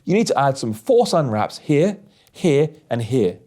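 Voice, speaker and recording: A man's voice: medium pitch, big pitch swings, measured pace, warm tone. A man voicing a pushy, overconfident male tech lead in a storybook, with a breezy know-it-all manner. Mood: cocksure.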